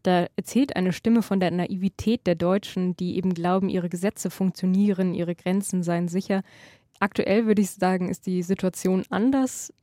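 A woman hosting a radio interview, putting prepared questions in a measured, articulate way. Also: lowish voice, fairly even intonation, warm tone, no room sound, clean studio sound. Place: radio studio.

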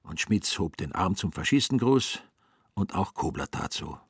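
A man's voice: deep voice, lively intonation, normal speed, husky, no echo, clean studio sound. A man narrating a literary story for a radio play. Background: music.

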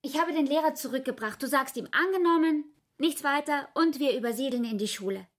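A woman acts a young fiancée in a literary radio play, devoted and determined. The voice is high, intimate and insistent, coaxing her partner along. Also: a little fast, no echo, close to an everyday voice. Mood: eager, urgent. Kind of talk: German literary radio drama acting.

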